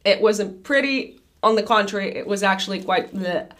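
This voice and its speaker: A woman astrologer giving a monthly horoscope forecast to camera, her voice raspy and hoarse, talking in a chatty way with many fillers and restarts and fondly addressing viewers. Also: medium pitch, normal speed, fairly bright tone.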